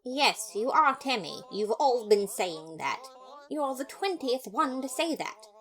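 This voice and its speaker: A woman's voice: fairly high pitch, expressive, unhurried, a bit bright, pitched above the performer's own voice. A woman voicing a pompous, earnest male skeleton detective, theatrical and dramatic, in an audio story. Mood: pleading. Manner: theatrical, exclamatory.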